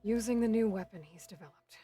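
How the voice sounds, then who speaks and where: Actress as determined young game heroine, mellow tone, terse n skeptical, faint music under it. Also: female voice, mid-range voice, fairly quick, close to an everyday voice. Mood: wary. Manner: terse, questioning, wary. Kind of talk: dramatic video game dialogue. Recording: music behind the voice.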